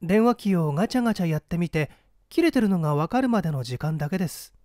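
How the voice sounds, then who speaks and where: A man's voice: sits high, expressive, quick pace, darkish tone, dry recording, studio quality. A man voicing a polite, composed young lady witness in a mystery audiobook, with courteous, refined speech.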